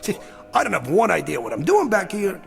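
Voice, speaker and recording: Brash, cajoling gambler, high gravelly voice, slangy radio drama delivery. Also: male voice, huge pitch swings, brisk, over music, exaggerated voice. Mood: cocky, scheming. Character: brash, fast-talking gambler, agitated man out of his time. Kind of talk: radio drama acting and first-person narration.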